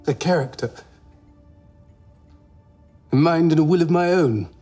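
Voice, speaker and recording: An actor playing an earnest, wounded young British prince with an upper-class English accent in a screen drama. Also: male voice, a little high, a little slow, warm tone, faint music behind. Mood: impassioned.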